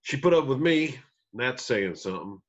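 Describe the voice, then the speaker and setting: A man's voice: mid-range voice, lively intonation, a little fast, muffled recording, natural voice. A man playing a weathered, wry, guarded older country bandleader, folksy and wistful, in a screenplay table read.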